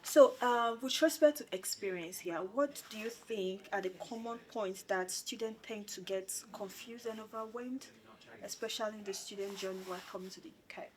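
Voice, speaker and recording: Chatty young woman hosting, medium pitch. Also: normal speed, low-quality recording. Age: young adult. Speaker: student union president hosting an interview. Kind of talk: informal hosted interview.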